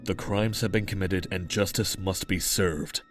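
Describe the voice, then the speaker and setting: A man's voice: low voice, steady tone, measured pace, fairly bright tone, faint music behind. A man voicing a serious young male chameleon detective who talks in a clipped, formal, dry way. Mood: stern.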